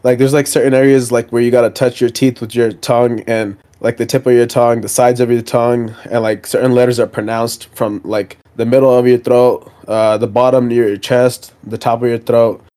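A young man co-hosting a casual podcast, explaining things in a halting, rambling way with many restarts. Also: mid-range voice, little pitch movement, warm tone. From Pakistani family background. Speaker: podcast co-host. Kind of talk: casual chat.

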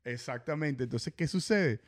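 Relaxed podcast host, slangy chat, keeps calling his guest brother. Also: medium pitch, normal speed. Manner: slangy, says brother a lot.

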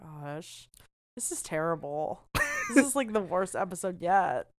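A woman co-hosting a podcast in casual chat, with a loud, booming voice and a teasing, animated way of talking full of fillers. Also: measured pace, no room sound, clean studio sound. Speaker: podcast co-host.

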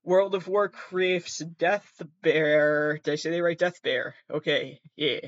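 A man with a fairly high voice gives loose, off-the-cuff reaction commentary. He is sardonic and sweary, often half talking to himself. The sound is slightly dull and lo-fi. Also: fairly even intonation, takes it slowly, dry recording. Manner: sardonic, sweary asides and muttered self-talk. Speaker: host. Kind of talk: casual rambling reaction commentary, reading aloud from web articles.

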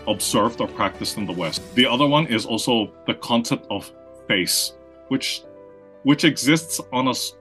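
A man's voice: fairly low pitch, a bit bright. A man from Austria, raised in the Philippines, giving conversational interview answers, explaining at length and often checking in with "right?". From Austria, grew up in the Philippines. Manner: explanatory, frequent "right?" tags and restarts. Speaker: guest, marketing consultant.

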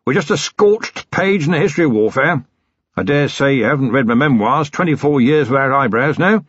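A man's voice: medium pitch, lively intonation, fairly quick, husky, dry recording, voice pushed up. A man voicing a bluff, hearty elderly retired military colonel who rambles cheerfully. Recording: dry, slightly dull recording.

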